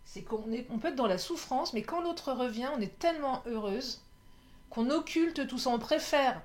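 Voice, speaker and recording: A woman reading oracle cards for her viewers in a casual, chatty way, a bit hoarse, often laughing and correcting herself. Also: high voice, animated, moderate pace. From France. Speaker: tarot and oracle card reader. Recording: faint background noise.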